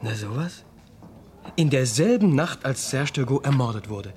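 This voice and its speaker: An actor playing a dutiful, matter-of-fact police inspector in a radio crime drama, official and obliging. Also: male voice, medium pitch, lively intonation, moderate pace, darkish tone, a little hiss or hum, close to an everyday voice. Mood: businesslike.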